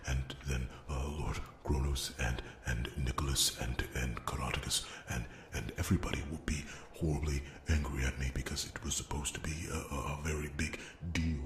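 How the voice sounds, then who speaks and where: A man playing a nervous, rambling blacksmith in a fantasy ASMR roleplay, soft and breathy, often near a whisper, stammering and apologetic. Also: a bass voice, reverberant, slight background noise. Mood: flustered, hesitant. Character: nervous, rambling, beer-loving male blacksmith in fantasy realm.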